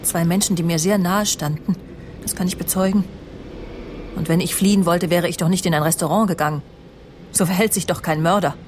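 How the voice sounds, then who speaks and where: A woman's voice: on the low side, steady tone, fairly quick, background noise, lightly acted. An actress playing a vain, self-assured woman journalist in a radio crime drama, confiding and imperious as she justifies herself. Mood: indignant, self-justifying.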